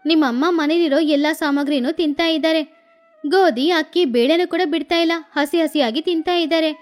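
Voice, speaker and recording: A woman voicing a young wife and daughter-in-law who talks back slowly and tartly, over faint music. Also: sits high, lightly acted. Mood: retorting.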